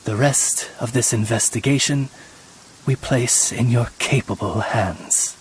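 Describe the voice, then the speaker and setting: Oz, a man as a slow, courtly raven servant. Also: mid-range voice, slight background noise, natural voice, rough recording. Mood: solemn, dignified. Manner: courtly, ornate, measured diction. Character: formal, loyal male raven servant to a young lady. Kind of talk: video game voice acting. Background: game music.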